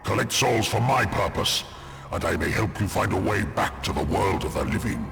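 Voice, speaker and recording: A man voicing a haughty, menacing demon general in a video game, with a thunderous, gravelly voice and a pompous, threatening delivery. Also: low voice, expressive, moderate pace, a bit bright, heavily acted. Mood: sly, commanding. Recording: long cavernous reverb, music underneath.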